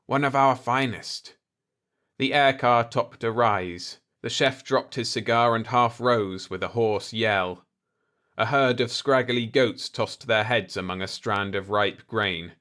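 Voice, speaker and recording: A man's voice: on the low side, measured pace, sharp tone. A man reading scripted science fiction narration as an audiobook narrator.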